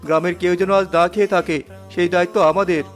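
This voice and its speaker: A man playing a kindly middle-aged father in a cartoon story, speaking in a measured, earnest way. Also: high voice, fairly even intonation, natural voice. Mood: moved, approving. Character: wealthy, kindly father of a young girl. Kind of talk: scripted cartoon dialogue. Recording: music behind the voice.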